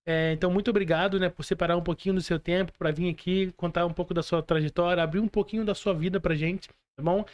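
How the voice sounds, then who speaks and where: A man's voice: high voice, fairly even intonation, quick pace, few pauses. A Brazilian man hosting a casual podcast interview, speaking informally with slang and effusive appeals to his audience. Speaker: podcast host.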